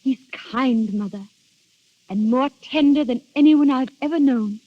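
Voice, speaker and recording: A woman's voice: sits high, a little slow, no room sound. An actress playing a devoted, romantic young woman, ardent and theatrical in 1930s radio drama style. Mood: dreamy, ardent. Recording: muffled old 1930s radio recording.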